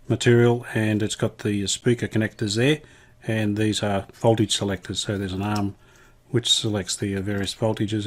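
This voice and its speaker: A man with a fairly low voice presents a hands-on workshop demonstration. He talks casually and without hurry, in a matter-of-fact way, as if thinking aloud while he works. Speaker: hobbyist radio restorer presenting his video.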